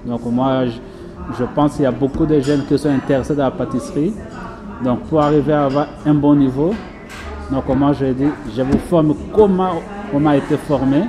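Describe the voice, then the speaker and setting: Middle aged guy, normal pitch, chef doing a casual interview, simple direct talk, repeats himself, music behind him. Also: fairly even intonation, moderate pace. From France. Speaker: pastry chef and business owner being interviewed. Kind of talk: casual personal testimonial.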